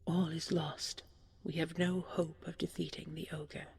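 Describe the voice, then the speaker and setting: A woman voicing a guilt-ridden, devoted young storybook hero in a hushed, breathy, sorrowful way. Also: deep voice, flat delivery, measured pace. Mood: despairing.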